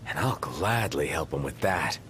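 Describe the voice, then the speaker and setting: An actor playing a terse, dry young hero in a video game, casual and laconic. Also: male voice, very deep voice, expressive, normal speed, noisy background. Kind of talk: video game cutscene acting.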